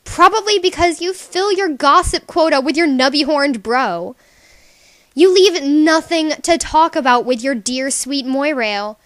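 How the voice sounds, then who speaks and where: Woman voicing a very high, bubbly scolding alien sea girl. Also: animated, a little slow, no room sound, studio quality. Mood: teasing, indignant. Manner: exclamatory, teasing, emphatic. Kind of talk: character voice reel for a webcomic.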